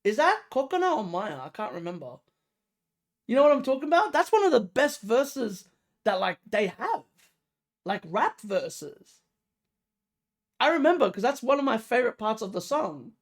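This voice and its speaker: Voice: mid-range voice, very animated, normal speed. A reaction video host giving casual, slangy music commentary, emphatic and repetitive, often throwing out rhetorical questions. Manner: informal, slangy.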